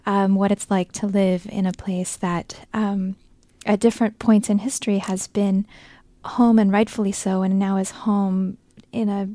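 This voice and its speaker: Casting: woman as interview host, warm low-brightness tone, thoughtful and conversational, very little room sound. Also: little pitch movement, a little slow, no echo.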